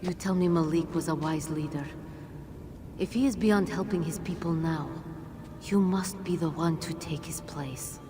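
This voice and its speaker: An actress playing a wise, commanding female jinn in a video game, speaking in a measured, formal, grave manner. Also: sits low, over music. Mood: solemn, formal. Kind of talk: video game cutscene acting.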